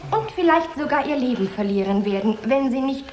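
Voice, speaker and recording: Earnest tsars daughter, kids radio play, bit high, roomy echo. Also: female voice, lively intonation, a little fast, darkish tone, a little hiss or hum. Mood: urgent pleading. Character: tsar's earnest, determined daughter pleading with her father. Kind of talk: children's radio play acting.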